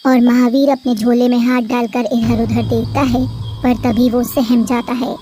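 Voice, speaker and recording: A woman narrates a cartoon story in a steady, even storytelling manner. Her voice sits high and clear. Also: moderate pace, over music.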